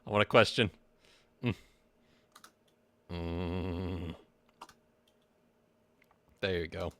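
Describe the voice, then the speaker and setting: A man's voice: low voice, steady tone, extremely slow, slight background noise. A young man co-hosting a livestream, chatting casually and teasing in jokey banter. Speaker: livestream co-host.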